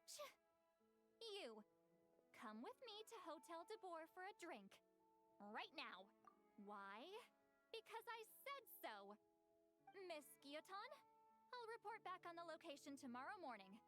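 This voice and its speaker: A woman's voice: very high voice, very animated, unhurried, long pauses, bright, forceful tone, soft background music, heavily acted. An actress voicing a feisty, theatrical young woman in an anime game, dramatic and emphatic in delivery. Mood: flustered, bossy.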